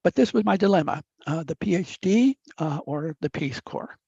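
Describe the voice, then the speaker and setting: A man in his eighties from the upper Midwest of the United States, whose first language is Italian, telling a story from his life in a measured, deliberate way with frequent "uh" fillers. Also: a little high, expressive, creaky, mellow, husky, dry recording. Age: 80s. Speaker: guest speaker. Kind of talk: reflective storytelling talk. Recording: dull dry lo-fi recording.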